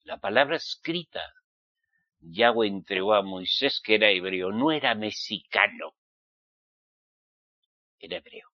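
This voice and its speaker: A man's voice: fairly low pitch, animated, measured pace, long pauses, no echo, lo-fi sound. A man from Spain with a Spanish accent, preaching in an emphatic, admonishing way and putting rhetorical questions to his listeners. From Spain, Basque Country. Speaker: religious preacher. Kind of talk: fiery sermon addressed to listeners.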